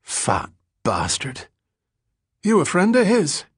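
A man's voice: high-pitched, huge pitch swings, slow pace, slightly rough, no room sound, clean studio sound, voice pushed up, exaggerated voice. A man voicing a ragged, dazed drifter in an audiobook story, bitter and rambling, with repetitive, slangy street talk. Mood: scornful, agitated.

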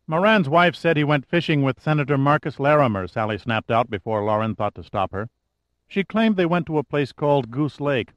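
A male narrator voicing a brisk, wisecracking young woman secretary on a phone call. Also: medium pitch, no echo, close to an everyday voice. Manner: wisecracking, quick, efficient.

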